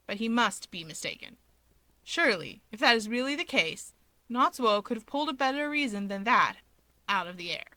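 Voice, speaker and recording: Bright, high-pitched woman narrating a story. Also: expressive, moderate pace, no room sound. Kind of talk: expressive audiobook-style fan fiction narration.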